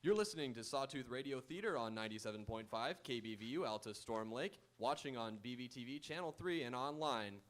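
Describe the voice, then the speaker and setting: A man's voice: mid-range voice, animated, brisk, hardly any pauses. A man announcing and narrating a live radio theatre show from a script. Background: music.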